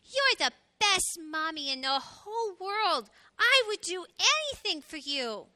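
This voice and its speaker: A woman's voice: extremely high pitch, very animated, measured pace, sharp tone, dry recording, clean studio sound, pitched above the performer's own voice, full character voice. A woman voicing an eager, adoring little boy hedgehog in a children's storybook, with exuberant, emphatic declarations. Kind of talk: children's storybook read aloud.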